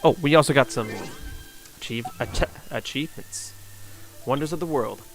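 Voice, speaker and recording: A man giving casual, off-the-cuff live gameplay commentary, stumbling over words and reading text aloud in halting phrases. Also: mid-range voice, rough recording. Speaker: game streamer. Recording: faint game music behind.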